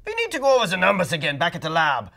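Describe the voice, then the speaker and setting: A man's voice: high-pitched, wildly swooping pitch, rapid-fire, creaky, sharp tone, voice pushed up, exaggerated voice. A man voicing a self-important doctor in a storybook, announcing things with authority. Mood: authoritative.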